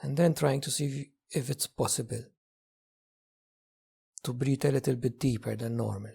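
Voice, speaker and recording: A man leading a guided meditation as a teacher, speaking gently in short phrases with long pauses. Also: mid-range voice, creaky, slightly rough. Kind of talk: guided meditation, slow spoken instruction.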